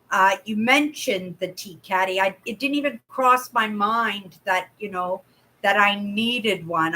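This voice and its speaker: Older canadian woman, bright clear voice, slow unhurried chatting, rambly livestream host at her home desk webcam. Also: medium pitch, poor audio. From Vancouver, Canada. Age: 60s. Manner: chatty, rambling, reads out comments. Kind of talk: casual livestream chat. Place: home room, indoors.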